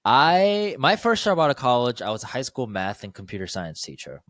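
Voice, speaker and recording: A man's voice: medium pitch, sing-song, moderate pace, fairly bright tone, dry recording, clean studio sound. A young man who moved from Korea to the Chicago suburbs as a child, co-hosting a podcast in a friendly, encouraging manner. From Korea, raised in Chicago suburbs from age nine. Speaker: podcast co-host. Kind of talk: casual chat.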